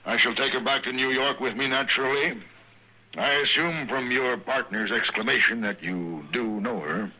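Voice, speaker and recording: A man's voice: medium pitch, moderate pace, sharp tone, highs cut off. An actor playing an imperious middle-aged rich businessman in a 1950s radio western, pompous, clipped and commanding. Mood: cold, impatient. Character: imperious, wealthy older businessman father, cold and domineering.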